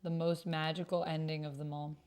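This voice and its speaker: A woman's voice: sits low, level tone, brisk, a little mellow, clean tone. A woman hosting a livestream in casual, reactive chat, with a playful, exclamatory way of talking. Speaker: streamer and host of an actual-play stream.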